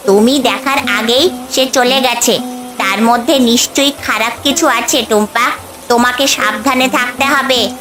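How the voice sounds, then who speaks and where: High-voiced protective talking bird played by a woman. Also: moderate pace, over music, natural voice. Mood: cautioning. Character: watchful, protective colourful magic bird, female, stern. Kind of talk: cartoon story voice acting.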